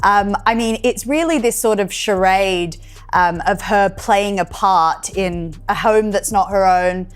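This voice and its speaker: A woman's voice: medium pitch, unhurried, clean tone. A woman commentator speaking thoughtfully with some hesitation.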